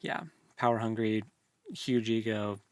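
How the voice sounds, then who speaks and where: A man's voice: on the low side, level tone, unhurried, dry recording. A man co-hosting a book discussion, talking informally and slipping into paraphrased lines as he explains. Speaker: book discussion podcast co-host. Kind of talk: casual book chat.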